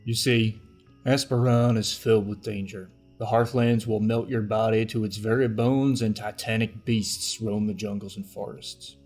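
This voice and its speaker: Guy narrating a fantasy trailer, lowish voice, faint music under him. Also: fairly even intonation, normal speed, a little mellow. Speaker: podcast narrator. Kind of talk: dramatic scripted trailer narration.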